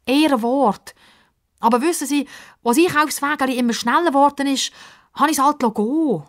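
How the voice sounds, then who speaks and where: Woman playing a plucky young girl, high-pitched. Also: brisk, lightly acted. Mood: apologetic. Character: plucky, cheerful young football-loving girl.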